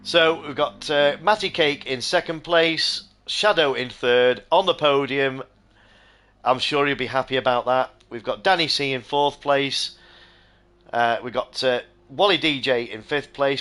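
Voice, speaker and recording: A middle-aged man hosting and commentating a sim racing stream, hoarse and close to losing his voice, switching between excited play-by-play and rambling chat with viewers. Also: mid-range voice, normal speed. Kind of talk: live-stream race commentary.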